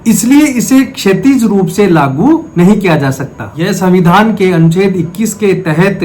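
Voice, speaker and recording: High-pitched man explaining law, noisy background. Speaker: legal explainer, video host. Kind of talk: explanatory legal lecture.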